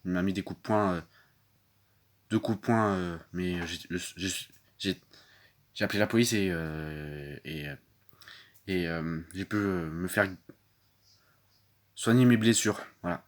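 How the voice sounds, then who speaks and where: A man voicing a young battered wife who speaks softly and haltingly, repeating herself and trailing off. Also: low voice. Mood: downcast.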